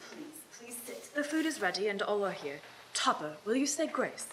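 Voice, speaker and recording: A woman playing a cheerful, forgiving young gentleman host, earnest and formally phrased, in a live radio-play reading. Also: medium pitch, sing-song, moderate pace, a little hiss or hum. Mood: hospitable.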